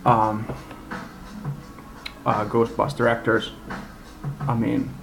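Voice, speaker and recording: Male amateur reviewer, gravelly and unhurried, halting and blunt, unscripted; radio music audible behind him. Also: medium pitch, completely flat delivery. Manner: halting, rambling delivery. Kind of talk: unscripted casual rant review. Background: music from a live radio.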